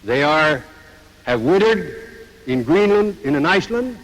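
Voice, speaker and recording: A man giving a formal speech in slow, emphatic, declamatory phrases, in an old 1940s recording. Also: a little high, big pitch swings. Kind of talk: formal public speech, oratorical. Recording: 1940s newsreel, long echoing hall reverb.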